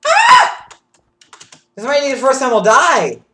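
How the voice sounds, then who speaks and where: A man streaming a game live, reacting excitably with yelps and shouts, keyboard clicking behind him. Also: extremely high pitch, slow pace, reverberant, heavy background noise. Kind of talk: live gameplay commentary. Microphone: desk mic with audible keyboard.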